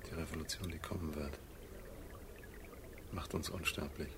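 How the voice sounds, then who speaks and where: An actor playing a quietly fervent revolutionary in a radio drama, proclaiming in a hushed, deliberate manner. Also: male voice, extremely low pitch, fairly even intonation. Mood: quiet conviction. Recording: clear background noise, very quiet voice.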